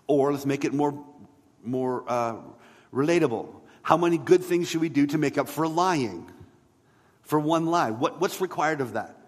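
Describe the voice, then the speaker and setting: A man preaching a sermon as a pastor, in a conversational way with rhetorical questions and emphatic pauses. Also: on the high side. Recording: long hall reverb.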